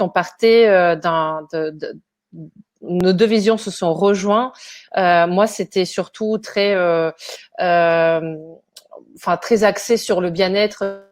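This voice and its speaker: A woman hosting a webinar, talking in a halting way with restarts as she searches for words. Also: lowish voice, darkish tone, no echo. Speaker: webinar host, company co-founder. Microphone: video call.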